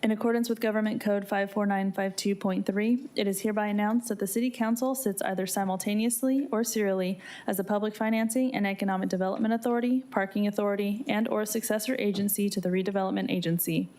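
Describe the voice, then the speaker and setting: A woman's voice: mid-range voice, steady tone, quick pace, hardly any pauses, mellow. A woman working as a city clerk, reading formal scripted announcements in an official, procedural way. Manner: procedural, official read-aloud delivery.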